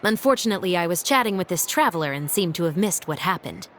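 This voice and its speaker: A woman voicing a composed, dutiful female officer in a video game, speaking in a dry, formal, measured way. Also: fairly low pitch, animated, a bit bright, slight background noise. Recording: music behind the voice.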